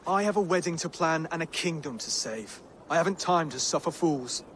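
A mild, earnest young Saxon lord with an English accent in a game cutscene. His voice sits a little high and speaks with courteous, measured care, over some background noise. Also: expressive, a bit bright. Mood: mild, composed. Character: gentle, earnest young Saxon thane, would-be king. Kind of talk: video game cutscene acting.

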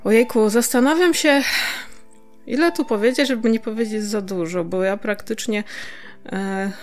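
A woman's voice: medium pitch, normal speed, faint music behind. A woman from Poland co-hosting a podcast in casual chat, often searching for words and chuckling. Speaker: podcast co-host.

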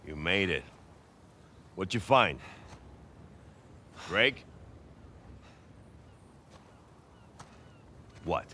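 Casting: corrupt middle-aged prison warden, very low voice, Latin American Spanish accent, terse, faint ambience. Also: male voice, lively intonation, slow pace, noisy background. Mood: impatient. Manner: terse, commanding. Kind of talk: naturalistic video game acting. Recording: faint game ambience behind.

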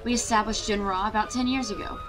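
A composed female paleontologist, played by a woman in a sci-fi audio drama. She sounds formal and proud, mid-pitched, with clear music underneath. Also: fairly even intonation, fast, a crowd in the background, natural voice. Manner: formal, explanatory. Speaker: actress in a fan audio drama. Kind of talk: full-cast audio drama acting.

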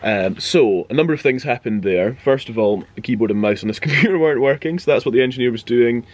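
A man in his twenties, a radio presenter, chatting casually to camera with wry, understated asides. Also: fast talker. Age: 20s. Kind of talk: casual vlog chat to camera. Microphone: handheld vlog camera. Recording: noisy handheld camera audio, background rumble.

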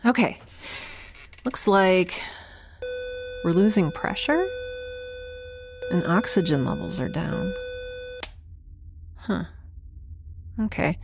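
Actress as quirky chatty woman, anxiously upbeat, lively pitch, wry rambling, space audio drama, faint ambience. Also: unhurried, vocal fry, long pauses, slight background noise, heavily acted. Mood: puzzled, worried. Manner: rambling, wry, self-reassuring. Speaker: lead character. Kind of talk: naturalistic audio drama acting, talking to herself.

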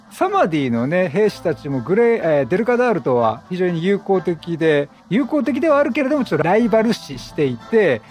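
Chatty man with lively, swinging intonation. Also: sits high, a little fast. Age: 30s or 40s. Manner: chatty, reacts and quips at the characters. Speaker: gameplay commentator. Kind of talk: casual game commentary. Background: game music.

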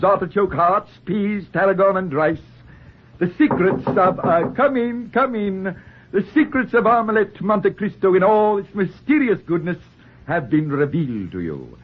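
An actor playing a genial, pompous middle-aged husband turned chef, with florid speech, in a 1940s radio play. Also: male voice, high voice, phone-quality sound. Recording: band-limited 1940s radio recording with music.

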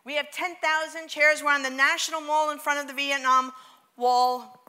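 A high, bright woman's voice with a New York accent, speaking on a large theater stage. She gives a motivational keynote with plenty of energy and pulls the crowd into call-and-response chants. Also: level tone, normal speed.

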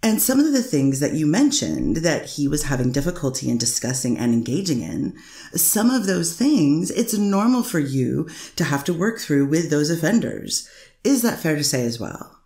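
A woman's voice: deep voice, big pitch swings, moderate pace, warm tone. A woman attorney cross-examining a witness in a courtroom, pressing with leading questions. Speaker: defense attorney. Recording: echoey courtroom recording.

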